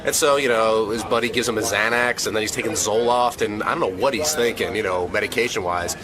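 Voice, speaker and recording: A man's voice: lowish voice, quick pace, crowd noise, low-quality recording. Hesitant man with a busy street behind. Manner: hesitant, unsure. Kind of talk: casual recorded comment.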